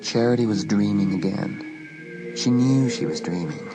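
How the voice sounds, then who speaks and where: A man narrating a suspense story in a dramatic, scripted style, letting phrases drop to a hush. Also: fairly low pitch, expressive, a little slow, over music, low-quality recording. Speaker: audiobook narrator.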